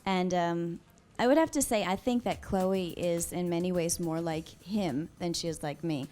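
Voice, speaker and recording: A woman in her thirties answering interview questions in a casual, thoughtful way, pausing often with soft ums. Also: on the low side. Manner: soft-spoken, thoughtful, with frequent pauses and ums. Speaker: interview guest.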